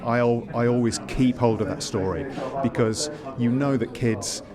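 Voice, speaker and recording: A man's voice: medium pitch, moderate pace. A writer speaks in a mid-range voice, full of enthusiasm, often tripping over his words as he explains himself. Crowd chatter from the event surrounds him. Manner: enthusiastic, rambling with restarts. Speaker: panto writer. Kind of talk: casual interview answers. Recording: crowd noise around the mic.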